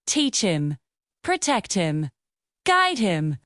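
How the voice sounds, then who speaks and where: A woman's voice: medium pitch, sing-song, drawn-out words, a bit bright, no echo, studio quality, full character voice. A woman voicing a wise male wolf pack leader in a children's storybook cartoon, speaking in a solemn, announcing way. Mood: commanding.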